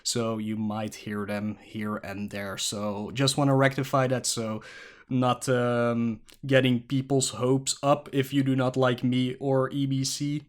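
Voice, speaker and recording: A Flemish man, a native Dutch speaker from Belgium, hosting a podcast by himself at a creaky desk in a rambling, jokey, self-mocking way. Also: mid-range voice, level tone, a little slow. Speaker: podcast host. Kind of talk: casual solo podcast talk.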